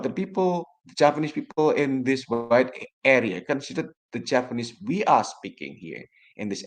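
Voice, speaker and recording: A man from Java, a native Javanese speaker with an Indonesian accent, giving an academic lecture in a formal, deliberate way and often addressing his audience directly. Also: medium pitch. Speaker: guest lecturer, academic researcher. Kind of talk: academic lecture on research methods.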